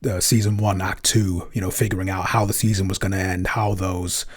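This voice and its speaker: Hesitant low-voiced podcast man. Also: level tone, brisk. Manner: hesitant, many "um"s and restarts. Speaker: podcast co-host, producer. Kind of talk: casual chat.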